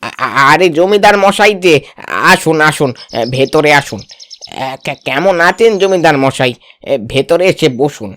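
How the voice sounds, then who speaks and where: Man acting bewildered, higher-pitched village father-in-law. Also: lively intonation, unhurried, fairly bright tone. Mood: nervous, deferential. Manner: nervous stuttering, deferential. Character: humble, bewildered village father-in-law, timid before authority. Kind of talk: comic cartoon dialogue.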